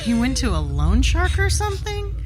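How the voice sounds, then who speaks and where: An abrasive, high-pitched, scornful skeptic. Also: female voice, wildly swooping pitch, moderate pace. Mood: blunt, dismissive. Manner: scornful, sarcastic, blunt. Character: abrasive, defensive, skeptical woman. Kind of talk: live stage audio drama acting. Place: theater stage. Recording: noisy live theater stage recording.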